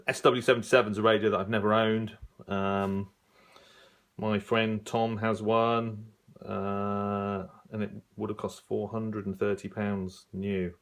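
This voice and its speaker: A man with a fairly low voice talks informally, as a hobbyist leafing through printed pages. He reads items out in a halting way, half to himself, and adds offhand remarks as he goes. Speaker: radio hobbyist reviewing an old magazine. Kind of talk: casual unscripted review, leafing through pages.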